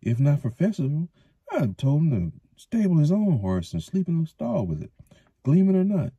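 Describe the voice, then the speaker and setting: A man's voice: on the high side, sing-song, moderate pace, warm tone, husky, dry recording, lo-fi sound, pitched above the performer's own voice, exaggerated voice. A man voicing a stout, jovial middle-aged mayor and innkeeper in a storybook reading, speaking with hearty authority. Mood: disapproving. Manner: hearty, knowing.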